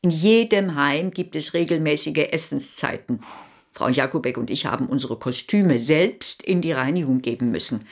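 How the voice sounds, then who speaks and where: An actress playing a lively, haughty lady guest who complains in a pointed way in a radio play. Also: low voice, moderate pace. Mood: indignant.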